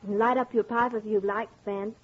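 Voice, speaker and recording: An actress playing a devoted young wife in an old-time radio drama, speaking in a gentle, coaxing, affectionate way. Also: female voice, medium pitch, fairly even intonation, normal speed, close to an everyday voice. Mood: tender, coaxing. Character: devoted, tender young wife, sweetly pleading. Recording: tinny, band-limited old radio recording.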